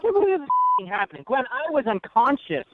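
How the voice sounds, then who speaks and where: A man with a high, animated voice argues over a tinny telephone line. He is a radio show caller, indignant and incredulous, pressing his points confrontationally. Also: moderate pace, a loud crowd. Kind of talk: confrontational phone conversation. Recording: tinny phone line, faint noise.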